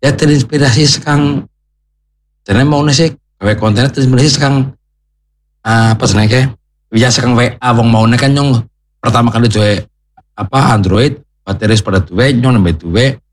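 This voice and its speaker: A man's voice: medium pitch, moderate pace, pauses often, a little hiss or hum. A man with a Banyumasan Javanese Ngapak accent, a guest content creator in a casual interview, chatty and repetitive, laughing often. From Banjarnegara, Central Java.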